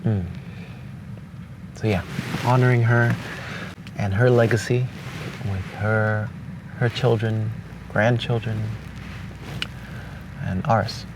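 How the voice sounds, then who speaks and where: A young man from Hong Kong with Cantonese as his native language, speaking slowly and thoughtfully with pauses and restarts, recorded outdoors on a beach at dawn. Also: fairly low pitch, little pitch movement. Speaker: vlogger. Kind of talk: reflective spoken letter. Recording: distant outdoor mic with noise.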